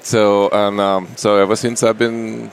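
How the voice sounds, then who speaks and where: A man's voice: fairly low pitch, level tone, unhurried, creaky, slight background noise. A middle-aged man with a Russian accent, speaking as a guest in a casual interview. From Russia, Saint Petersburg.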